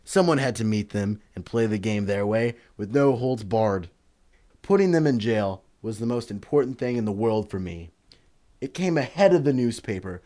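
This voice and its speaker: An actor playing an earnest young publisher who is secretly a vigilante, speaking forthrightly in old-time radio drama style. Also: male voice, lowish voice, warm tone, natural voice.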